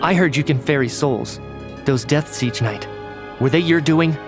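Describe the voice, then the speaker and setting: A man playing a sharp young male investigator in a game dub, speaking precisely with a probing, serious manner. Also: fairly high pitch, measured pace, music in the background. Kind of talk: dramatic game dub acting.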